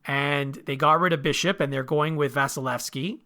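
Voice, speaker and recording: A man's voice: a little high, steady tone, moderate pace, bright, forceful tone. A man co-hosting a sports podcast in casual conversation over a video call.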